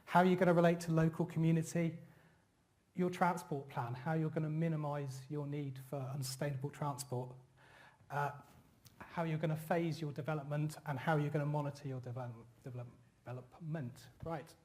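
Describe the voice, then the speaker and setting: A man giving a talk to an audience about planning, pausing often with fillers and restarting phrases. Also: on the high side, fairly even intonation, a little mellow. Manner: halting, many fillers and restarts. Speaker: conference speaker. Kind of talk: presenting a talk with slides.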